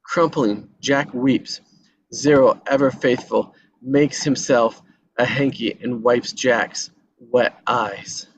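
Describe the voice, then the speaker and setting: A man's voice: mid-range voice, fairly even intonation, slow, lo-fi sound, slight background noise. A man reading scripted action descriptions as narrator at a screenplay table read.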